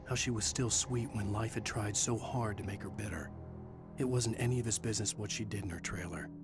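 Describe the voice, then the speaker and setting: A man's voice: lowish voice, close to an everyday voice. A man playing a grim, determined writer hero, narrating his ordeal in a brooding, terse first-person style for a video game.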